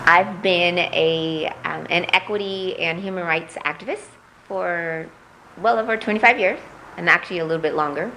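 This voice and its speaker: A middle-aged woman from Puerto Rico, raised in Missouri, answering interview questions as a political candidate, measured and reflective with frequent pauses. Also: on the low side, sharp tone, a little hiss or hum, rough recording.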